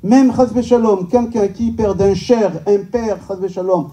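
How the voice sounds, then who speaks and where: Male rabbi, native Hebrew speaker, high-pitched voice, lecturing informally and emphatically, recorded at a distance in a hall. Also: steady tone, measured pace, mellow. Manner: rhetorical questions, emphatic repetition, joking. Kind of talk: informal religious lecture to a live audience. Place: medium-sized indoor synagogue function room.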